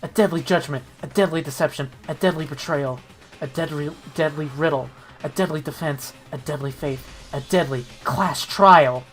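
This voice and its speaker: A woman playing an earnest, low-voiced schoolboy protagonist. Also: normal speed, natural voice. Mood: dramatic narration. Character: earnest, questioning high school boy protagonist. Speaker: narrator and player in a group game dub. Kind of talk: scripted narration and dialogue mixed with casual chat. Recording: music behind the voice.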